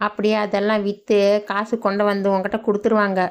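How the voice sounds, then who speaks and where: Woman voicing lazy young village man, cartoon, sarcastic, smooth, monotone. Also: mid-range voice, fast, lightly acted. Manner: sarcastic, grumbling. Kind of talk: cartoon voice acting.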